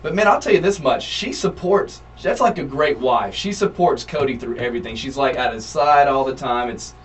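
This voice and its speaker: A man co-hosting a wrestling podcast, chatting casually and jumping in with excitement. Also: medium pitch, normal speed. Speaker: podcast co-host. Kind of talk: casual chat. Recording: distant mic with faint room noise.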